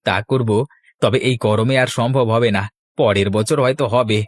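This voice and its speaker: A man voicing an excitable village fellow in a cartoon. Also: mid-range voice, normal speed, dry recording, lightly acted. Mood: dejected. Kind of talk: Bengali cartoon voice acting.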